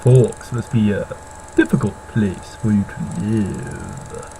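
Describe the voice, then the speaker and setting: Man playing a slow-talking brooding teenage boy. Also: fairly low pitch, mellow, rough recording. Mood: probing. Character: brooding teenage boy, curious, measured romantic lead. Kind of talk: acting dialogue in an amateur novel read-along. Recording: faint noise on an online call.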